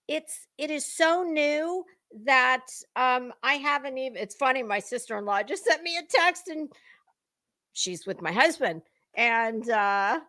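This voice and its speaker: An American woman chatting casually as a livestream host, with a dramatic, drawn-out delivery. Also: a little high, pushed and bright.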